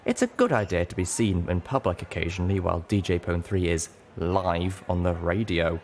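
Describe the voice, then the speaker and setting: A man's voice: low-pitched, expressive, normal speed, darkish tone, a little hiss or hum. A man voicing a worldly young pony mare who explains things frankly and matter-of-factly in an audiobook. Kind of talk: audiobook character dialogue.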